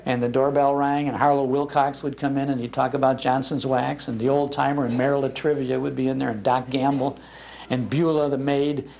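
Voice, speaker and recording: An older American man giving an informal, anecdotal public talk in an animated, conversational manner. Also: mid-range voice, fairly quick, vocal fry. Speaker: speaker on old-time radio history, broadcaster. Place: public library talk before an audience.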